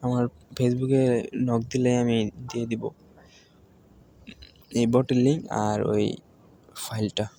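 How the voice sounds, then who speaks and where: A man with a Bangladeshi accent narrates an informal screen tutorial, explaining step by step in a halting way. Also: mid-range voice, little pitch movement, dark tone. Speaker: tutorial presenter. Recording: home recording with faint background noise.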